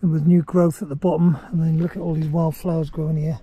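A man's voice: on the high side, flat delivery, fast talker, dull audio. Middle-aged man vlogging outdoors, noisy sound. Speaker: hiking vlogger. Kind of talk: casual video diary chat. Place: outdoors on a trail and at a campsite. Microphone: action camera at arm's length or close by.

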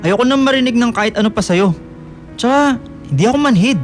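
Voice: medium pitch, expressive, fairly quick, natural voice. A performer playing an aloof, irritated young male college student in a radio drama, curt and dismissive. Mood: angry, fed up. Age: young adult. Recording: music bed under the voice.

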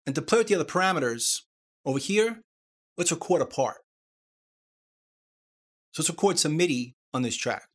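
A man presenting a software tutorial, explaining things step by step. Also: a little high, sing-song, normal speed, long pauses, breathy edge.

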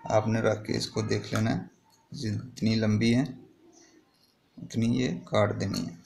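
A man's voice: fairly low pitch, fairly even intonation, slow pace, vocal fry, a little mellow. A man explaining a hands-on repair step by step in a plain, improvised way, like a tutorial host. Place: indoor repair workbench. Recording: noisy home-made recording with clicks.

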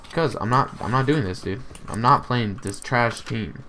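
A young man streaming a shooter game, chatting and trash-talking loudly with a friend. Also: medium pitch, normal speed, noisy background. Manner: loud, excitable trash talk. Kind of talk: casual gaming banter.

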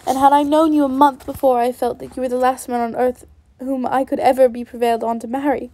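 A woman voicing a spirited, sharp-tongued young woman, speaking with forceful, accusing emphasis. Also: sits high, flat delivery, fast talker, natural voice. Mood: indignant.

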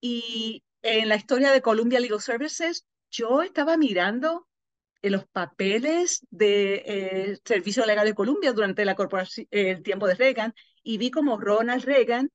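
A woman giving a live interpretation over a video call, halting with frequent pauses and fillers. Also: on the high side, dry recording. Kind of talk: simultaneous interpretation. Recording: dull lo-fi video call audio.

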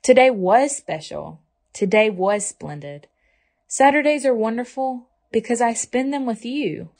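A woman voicing an affectionate little girl from a picture book, earnest and expressive in measured phrases. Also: fairly high pitch, voice pushed up. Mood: tender, reassuring.

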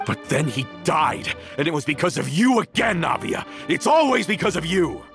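A man's voice: a little high, big pitch swings, normal speed, gravelly, exaggerated voice. A man playing a bitter, vengeful villain in a video game, dramatic and accusatory, with music behind him. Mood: furious accusing. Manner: dramatic, accusatory, swinging from brooding to ranting. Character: bitter, vengeful villain, a former member of a crime family. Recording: loud music under the voice.

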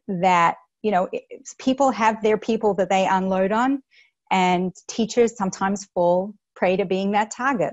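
A woman's voice: on the low side, gravelly, a little mellow, no room sound, dull audio. A woman in her thirties with an Australian accent, giving an earnest webinar talk to teachers with many fillers and restarts, on an earphone mic over a video call. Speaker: school educator on a panel. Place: small room indoors.

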